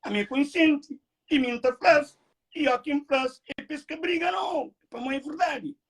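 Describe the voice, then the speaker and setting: Brief: male vlog host, very high voice, emphatic and repetitive. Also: moderate pace. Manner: animated, repetitive, emphatic outbursts. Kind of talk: heated casual talk.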